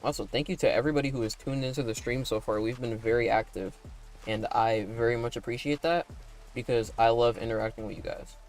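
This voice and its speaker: Laid-back young man, slightly raspy voice. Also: mid-range voice, a little fast, darkish tone, faint music behind. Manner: laid-back, rambling, full of likes and tag questions; talking in a put-on broadcast voice. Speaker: animator and streamer. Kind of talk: casual livestream chat while playing a game.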